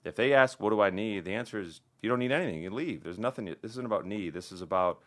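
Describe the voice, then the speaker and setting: Low-pitched, fast-talking male guest, easygoing and conversational. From American Midwest. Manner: relaxed, conversational, many restarts and "you know". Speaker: plastic surgeon guest. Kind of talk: casual podcast interview.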